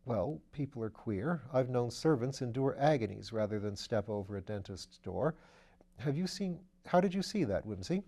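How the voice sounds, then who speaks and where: A man's voice: mid-range voice, animated, normal speed, dark tone. A man voicing a skeptical, matter-of-fact police detective in an audiobook reading. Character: level-headed male police detective friend. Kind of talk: audiobook character voice.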